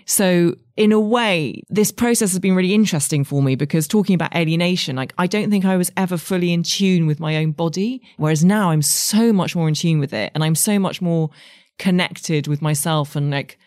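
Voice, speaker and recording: A woman's voice: on the low side, fairly quick, a little mellow, no room sound, studio quality. A woman in her forties with an English accent, a writer speaking candidly and thoughtfully as an interview guest. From England. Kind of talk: reflective interview conversation.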